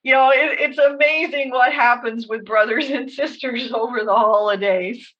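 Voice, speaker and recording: The sound is a little dull and lo-fi. A woman with a fairly high voice tells stories casually as a podcast co-host. She lays things out in an orderly way, with wry asides. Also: moderate pace. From Canada. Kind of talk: casual storytelling chat. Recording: dull, lo-fi band-limited audio.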